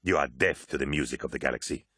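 A man voicing a disdainful, fanatical warrior prophet in an audiobook, with a harsh rasp and a grave, zealous, declamatory delivery. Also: rumbling low, fast talker, sharp tone, no echo, studio quality, voice pushed down, full character voice. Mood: scornful, zealous.

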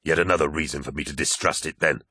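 A man's voice: extremely low pitch, steady tone, fast, sharp tone, breathy edge, dry recording, studio quality, voice pushed down, heavily acted. A man voicing a stern, indignant warrior in an audiobook, distrustful and challenging in manner. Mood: scornful. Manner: challenging, scornful questioning.

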